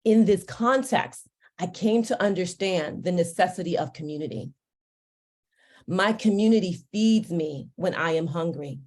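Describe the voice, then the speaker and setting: A woman whose first tongue is Yoruba delivers a formal ceremony address. Her voice sits a little low, and she takes her time, pausing between phrases in a deliberate, uplifting way. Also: darkish tone. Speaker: ceremony speaker. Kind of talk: formal commencement speech.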